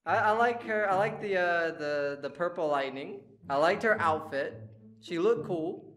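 Animated man reacting over music. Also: high voice, unhurried. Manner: excitable, slangy, exclaiming. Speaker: reaction video co-host. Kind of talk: casual reaction chat. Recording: game soundtrack playing behind.

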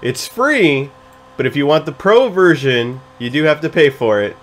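A man's voice: on the high side, animated, normal speed. A man in his thirties giving a casual, playful screen-recorded streaming tutorial in a goofy, chatty way. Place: small home room with gaming setup, indoors.

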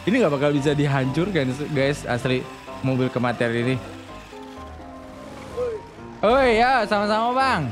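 A man's voice: on the high side, animated, a little slow, pauses often, music in the background. A man commentating on game roleplay in a slangy, exclamatory way, often repeating words. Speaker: gaming video creator and host.

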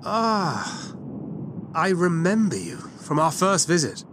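High-voiced earnest young hero. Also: male voice, very animated, slow pace, background noise. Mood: gentle recognition. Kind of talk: dramatic game cutscene acting. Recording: loud cinematic music behind.

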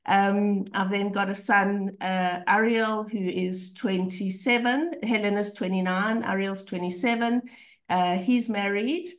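Croaky South African woman with a cold. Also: mid-range voice, fairly even intonation, measured pace. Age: 50s. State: mild cold. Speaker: class reunion participant. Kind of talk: informal personal life update. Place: video call.

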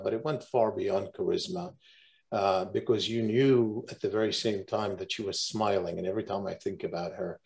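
An older man speaks as forum host on a video call. His voice is fairly low with plenty of creak, and he offers measured, off-the-cuff words of tribute. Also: a little mellow. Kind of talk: unscripted tribute remarks. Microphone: video call microphone.

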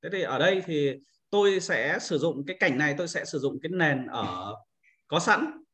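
A man's voice: fairly high pitch, animated, normal speed, fairly bright tone. A man narrating an informal step-by-step screen-share tutorial as an instructor, explaining and often adding short confirming tags.

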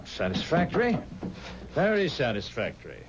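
An actor playing a mysterious, unearthly stranger in a radio mystery, curt, cryptic and coolly formal. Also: male voice, fairly high pitch, huge pitch swings, normal speed. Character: mysterious unearthly stranger collecting his own coffin. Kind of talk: 1970s radio mystery acting. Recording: muffled noisy old radio recording.

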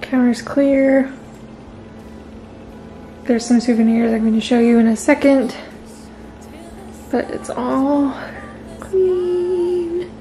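A woman's voice: sits high, fairly even intonation, takes it slowly, pauses often, dark tone, rough recording. A woman chatting casually in an upbeat way as she narrates a home vlog. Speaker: vlogger, host. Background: music.

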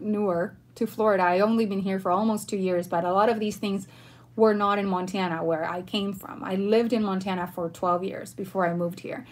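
A woman in her thirties from Argentina hosting a casual livestream, chatting informally and in a friendly way with her viewers. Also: on the high side. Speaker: livestream host. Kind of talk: casual livestream chat, answering viewer questions. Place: bedroom at home, indoors.

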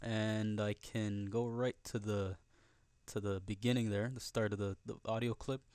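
A man narrating a software tutorial casually and conversationally, often checking in with the listener, recorded as a close voice-over. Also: on the low side, level tone, measured pace, clean tone, no room sound. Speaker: tutorial host.

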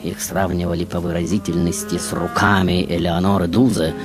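A man performing a glamorous, vain film diva on radio, in a very low voice. The delivery is coquettish and theatrical with dramatic pauses, over clear background music. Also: level tone. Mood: coy. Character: glamorous, vain, seductive female film star confessing her life. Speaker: radio show host. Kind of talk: theatrical radio monologue in character. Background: music behind the voice.

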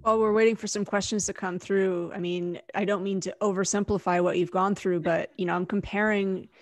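A woman in her forties from New Jersey, co-hosting a casual discussion in a measured, reflective manner. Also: medium pitch, steady tone, no echo. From New Jersey, United States. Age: 40s. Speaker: co-host.

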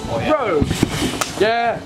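A young man from England cheering on friends on a backyard trampoline, with short excited yelps and banter. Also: very high-pitched, drawn-out words, over loud music. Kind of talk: casual banter. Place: backyard, outdoors.

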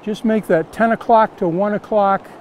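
Older man, high voice, plain step-by-step teaching, outdoors in a river, faint ambient noise. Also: moderate pace, darkish tone. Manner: plain, repetitive step-by-step instruction. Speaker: fly fishing instructor. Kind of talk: outdoor casting lesson.

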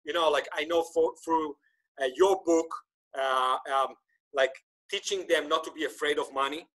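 A man in his thirties, native Hebrew speaker, hosting a casual podcast chat over a webcam. His voice sits a little high for a man, and he talks in an animated way, often stumbling and restarting his sentences. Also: normal speed, low-quality recording. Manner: animated, gesturing, many restarts. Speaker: podcast host. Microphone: video call webcam.

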